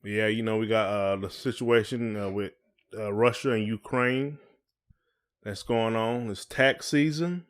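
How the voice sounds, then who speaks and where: A man's voice: lowish voice, fairly even intonation, a little slow, smooth. A man co-hosting a podcast in casual chat, asking questions in informal, hesitant phrasing. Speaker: podcast co-host.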